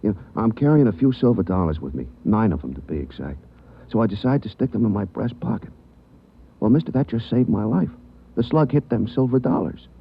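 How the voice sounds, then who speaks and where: A chatty, streetwise cab driver, played by a man in a 1940s radio drama. He talks in a low voice, slipping in "you know" as he goes. The sound is tinny, with a faint hiss from an old broadcast. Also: lively intonation, brisk, gravelly. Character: tough talking male cab driver, street-wise. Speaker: wounded taxi driver.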